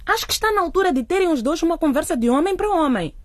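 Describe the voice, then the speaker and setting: A woman's voice: very high-pitched, lively intonation, racing, dry recording, studio quality. A woman playing a nagging, exasperated wife and mother in a radio drama, reproachful and sighing.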